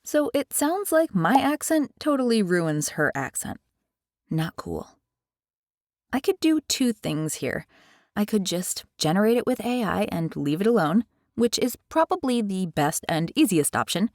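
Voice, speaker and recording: Brief: animated American woman, dry close sound, tutorial host. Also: mid-range voice, unhurried, dry recording. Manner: chatty, animated, curious. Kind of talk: casual explainer. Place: carpeted bedroom. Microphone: headset mic.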